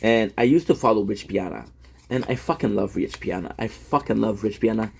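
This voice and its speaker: Man, 30s, content creator. Low-ish, husky; rambling and self-deprecating, stumbles and restarts often. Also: brisk, darkish tone. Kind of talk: casual rambling monologue.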